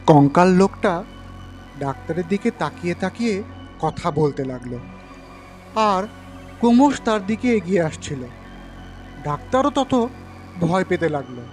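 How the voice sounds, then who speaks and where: A man's voice: high-pitched, expressive, unhurried, pauses often, a little mellow. A man narrating a ghost story as a storyteller, in a dramatic scripted style. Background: background music.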